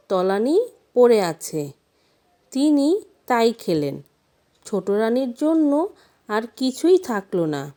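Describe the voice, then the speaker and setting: Woman reading a fairy tale, kinda high voice, soft mellow tone, really takes her time. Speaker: storyteller. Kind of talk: scripted fairy tale narration.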